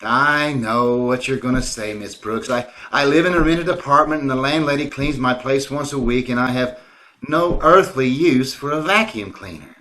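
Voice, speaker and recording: Bright-voiced, stammering, bashful man, acted. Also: mid-range voice, moderate pace, close to an everyday voice, poor audio. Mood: sheepish, baffled. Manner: hesitant, stammering, earnest. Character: bashful, awkward, stingy biology teacher man. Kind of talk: old-time radio comedy acting. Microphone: video call.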